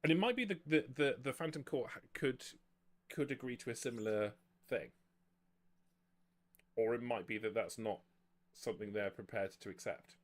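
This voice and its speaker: A man speaking as game master, explaining the rules of a tabletop roleplaying game in a measured way. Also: medium pitch, lively intonation.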